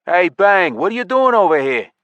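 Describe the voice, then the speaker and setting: A man voicing a tall, suspicious elf guard who barks brisk questions and commands in a fantasy audiobook. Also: a little high, no room sound, highs cut off, pitched above the performer's own voice, natural voice.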